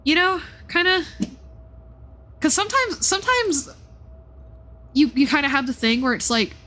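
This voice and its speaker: A woman with a fairly high, very lively voice talks casually as she streams a game. She muses out loud and often cuts into her own sentences, with her pitch moving freely up and down. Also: normal speed. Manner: animated, reflective, self-interrupting. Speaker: live streamer playing and commenting on a game. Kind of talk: casual livestream commentary, thinking aloud.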